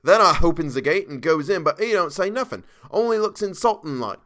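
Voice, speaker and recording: A man acts out a young Cockney servant in an audiobook tale. He pitches high and loud, an indignant ex-soldier who stays deferential. His speech is uneducated and emphatic. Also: lively intonation, brisk.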